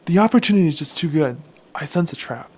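Guy doing skeptical roman brother in a radio play, slightly high voice, wary and questioning. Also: animated, fast talker, darkish tone, breathy edge. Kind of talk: audio drama acting.

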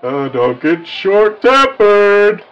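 A man plays an amiable cartoon wolf farmer, pitched high for a male voice. He sounds cheerful and folksy, teasing gently. The recording is slightly dull, with faint music underneath. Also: big pitch swings, drawn-out words, exaggerated voice. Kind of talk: improvised cartoon roleplay.

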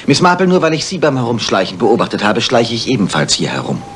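An actor playing an exasperated, patronising police chief inspector in a German crime radio play. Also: male voice, mid-range voice, wildly swooping pitch, fast, fairly bright tone, slight background noise. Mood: dry irritation. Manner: incredulous, placating.

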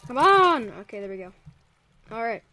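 A man's voice: very high voice, big pitch swings, normal speed, bright, forceful tone, faint music behind. A young man, probably a teen, casually commentating a Minecraft let's play as server host, rambling and easily startled. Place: home room by a window. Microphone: desk mic, drifts off it.

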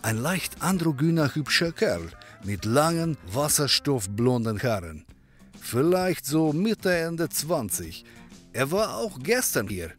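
An actor playing a friendly European barkeeper in a comedy audio drama, easygoing and confiding in manner. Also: male voice, on the high side, big pitch swings, slow, soft background music. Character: friendly European barkeeper, helpful male pub owner.

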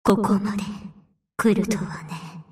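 A woman voicing a weary, solemn sea demoness in a Japanese anime game, breathy and wistful. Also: medium pitch, fairly even intonation, takes it slowly, a little mellow, close to an everyday voice. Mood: calm, resigned. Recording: distant-sounding game voice.

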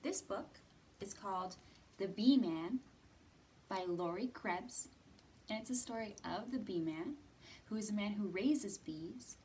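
A woman's voice: mid-range voice, a little slow, long pauses, a little mellow. An American woman in her thirties leading a children's storytime lesson in simple, encouraging, instructional phrases. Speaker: children's librarian and storytime host. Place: library room, indoors. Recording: distant mic with background hiss.

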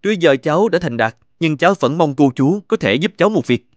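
A man's voice: on the high side, lively intonation, a little fast, dry recording, highs cut off, natural voice. An actor playing a grateful, successful young businessman in a cartoon, polite and sincere.

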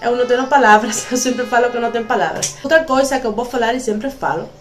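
A woman's voice: on the high side, fast talker, soft background music. Cuban woman vlogging in learner's Portuguese. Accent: Cuban Spanish. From Cuba. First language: Spanish. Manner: careful learner's Portuguese with Spanish slips. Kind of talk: casual vlog to camera. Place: small room at home, indoors.

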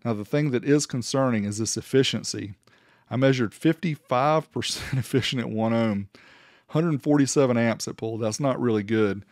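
A man's voice: medium pitch, normal speed, darkish tone, no room sound. Man narrating a product test, close dry sound. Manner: matter-of-fact, explanatory, reading out figures. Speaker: host of an audio gear review channel.

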